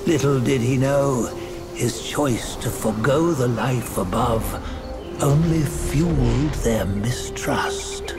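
An actor playing a weary, reverent old storyteller in a video game, solemnly telling an ancient legend with dramatic pauses. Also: male voice, medium pitch. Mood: solemn, wistful. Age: older. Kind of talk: solemn scripted game narration. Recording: music behind the voice.

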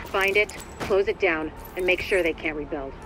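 An actor playing an urgent, terse mission commander briefing an agent in a video game. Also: male voice, high-pitched, normal speed, music in the background, lightly acted.

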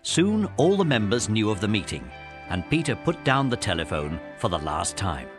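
A man's voice: lowish voice, big pitch swings, normal speed, music in the background. A British man narrating a scripted children's story as the narrator of an audiobook.

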